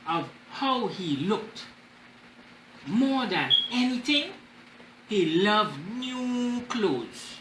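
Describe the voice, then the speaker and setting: A Trinidadian man reads a picture book to children. His bright voice rises and falls widely as he explains simply. The room sounds echoey, with a little noise. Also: high-pitched. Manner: explains by repeating phrases simply. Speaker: children's storytime host. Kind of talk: animated picture-book reading. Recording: roomy echo with faint noise.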